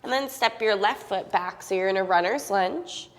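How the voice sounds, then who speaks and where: A young woman guiding a yoga class at an even, unhurried pace, her cues calm and steady. She sounds a bit sniffly from a cold and speaks into a clip-on mic. A long reverb hangs on her voice, as in a large room. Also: mid-range voice. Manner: calm, steady cueing with soft fillers. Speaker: yoga instructor.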